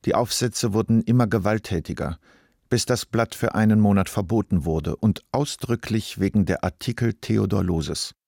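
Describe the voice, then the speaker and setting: A man's voice: fairly low pitch, moderate pace, gravelly, darkish tone, no echo, clean studio sound. A man reading scripted literary narration as the narrator of a radio play.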